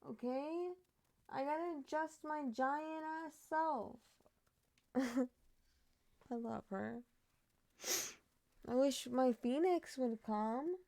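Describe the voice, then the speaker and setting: A woman in her thirties chatting casually on a stream, rambling with frequent laughs and asides, sometimes dropping to a soft murmur. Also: fairly high pitch, gravelly. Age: 30s. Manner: drops to a soft murmur when talking to her pet. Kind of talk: casual stream chat reacting to a true crime case.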